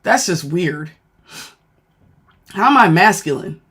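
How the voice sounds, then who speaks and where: A woman with a deep voice chatting casually on a phone livestream, speaking bluntly and thanking viewers as she goes. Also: animated, slow pace, a bit bright, breathy edge. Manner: blunt, frank, thanks viewers mid-sentence. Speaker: livestreamer and former law enforcement worker. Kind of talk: casual live-stream chat reading comments.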